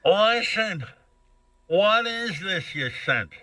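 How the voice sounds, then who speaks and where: A man voicing a fussy, nagging elderly mother in a blunt, demanding, drawn-out way on a radio show. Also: high voice, lively intonation, a crowd in the background, voice pushed up.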